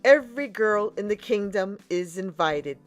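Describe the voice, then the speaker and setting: A stylish palace footman, as a woman storyteller puts him on. He announces formally and with lively, projected emphasis, like a herald in a children's fairy tale. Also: mid-range voice, moderate pace, faint music behind, close to an everyday voice. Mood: proclaiming.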